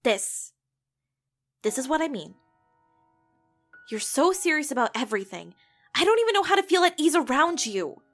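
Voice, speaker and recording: Woman playing a reflective young female professor, higher-pitched, frank, comic dub, music underneath. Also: very animated, normal speed, pauses often, fairly bright tone, full character voice. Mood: exasperated. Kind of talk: dramatic voice acting and reflective narration for a comic dub.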